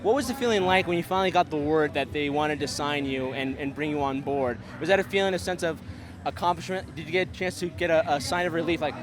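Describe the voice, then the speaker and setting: A man's voice: on the high side, fast, background noise. A man interviewing a wrestler on site, eager, asking long stacked questions. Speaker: podcast interviewer. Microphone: handheld camera mic.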